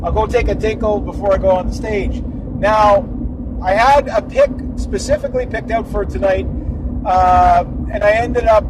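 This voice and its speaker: A man vlogging outdoors by the road at night, rambling casually with lots of fillers and restarts. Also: sits high. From Canada. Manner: rambling, many fillers and restarts, chuckling asides. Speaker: vlogger and musician. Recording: clear road and vehicle noise behind.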